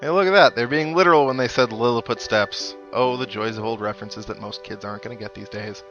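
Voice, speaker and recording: A man's voice: medium pitch, fast talker, muffled recording. A man giving casual, wry commentary as he hosts a video game playthrough. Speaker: video game let's play host. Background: video game music.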